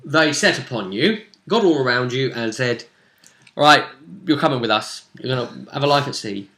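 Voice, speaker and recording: A man's voice: mid-range voice, animated, normal speed, pushed and bright. A man casually recapping a tabletop role-playing session as game master, rambling and dropping into characters' lines as he goes.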